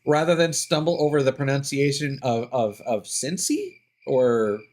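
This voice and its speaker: Male game streamer, average pitch, casual and explanatory, halting delivery with thinking-aloud asides. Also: big pitch swings, darkish tone. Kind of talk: casual live gameplay commentary.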